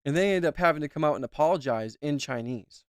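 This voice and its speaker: A man's voice: fairly high pitch, expressive, fast, dry recording, studio quality. A man commenting as a YouTuber, talking in a rambling, emphatic way and repeating himself. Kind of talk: casual reaction commentary.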